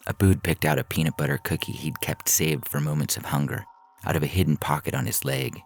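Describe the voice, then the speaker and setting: A man's voice: extremely low pitch, animated, fairly quick, gravelly. A man reading a science fiction story as narrator in a scripted audio fiction style.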